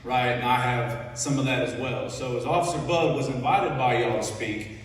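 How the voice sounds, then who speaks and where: A man's voice: mid-range voice, moderate pace, far from the mic. Folksy young man from Ohio, echoey hall. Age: 20s. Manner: folksy and conversational, keeps checking in with the audience. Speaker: Navy petty officer, guest speaker. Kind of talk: informal speech at a school assembly. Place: large echoing school hall. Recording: echoey hall recorded from afar.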